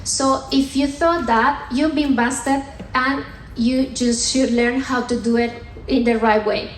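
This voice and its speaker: A woman with a Colombian accent delivers a playful science talk from a theatre stage, teasing the crowd as she goes. Her voice sits a little high and rings out through a roomy hall, with faint ambience underneath. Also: steady tone, slow, a little hiss or hum. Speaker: sustainability manager giving a comedy science talk. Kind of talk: stand-up style talk on stage. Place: theatre stage at a comedy festival.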